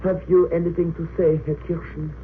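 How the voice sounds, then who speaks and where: Actor as a high-pitched, imperious military judge, vintage radio. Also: male voice, little pitch movement, brisk, lightly acted. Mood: cold, sardonic. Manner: imperious, sarcastic, clipped interrogation. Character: stern, sneering German military court judge. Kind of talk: old-time radio drama acting. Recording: tinny narrow-band old radio recording, hissy.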